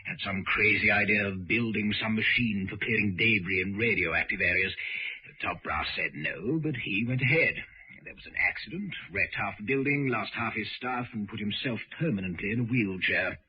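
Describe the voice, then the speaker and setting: Radio drama bureaucrat: British, drily witty, halting, very rough-voiced, muffled recording. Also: male voice, fairly low pitch. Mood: dry, hesitant. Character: gossipy, hesitant British ministry official. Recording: muffled old radio sound.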